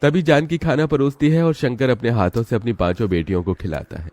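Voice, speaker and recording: A man narrating a cartoon drama story in a steady, dramatic storytelling manner. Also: mid-range voice, fairly quick, no room sound.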